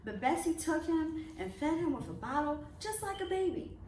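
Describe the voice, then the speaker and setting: A woman reading a children's picture book aloud in an expressive storytelling way. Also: high voice, moderate pace. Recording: echoey room, distant mic, faint noise.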